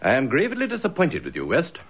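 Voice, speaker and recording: An actor playing a stern, haughty senior British police commissioner in a radio drama, pompous, cold and imperious. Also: male voice, a little high, wildly swooping pitch, fast talker, vocal fry, background noise, heavily acted. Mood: stern, affronted. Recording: slightly dull radio drama recording.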